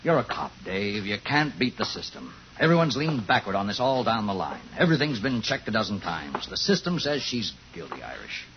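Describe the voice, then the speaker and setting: Muffled, faintly noisy old radio recording. a by-the-book police boss, low in pitch with big swings of intonation. He is matter-of-fact and weary, pausing as he goes, yet loyal underneath. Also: sing-song. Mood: resigned, firm. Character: weary, loyal male police superior, torn but by-the-book. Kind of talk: 1950s radio thriller acting.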